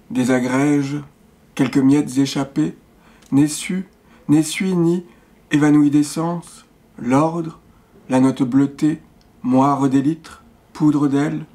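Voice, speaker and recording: A man reading poetry as a poet would, in short, measured phrases set apart by pauses. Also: fairly high pitch, steady tone, warm tone.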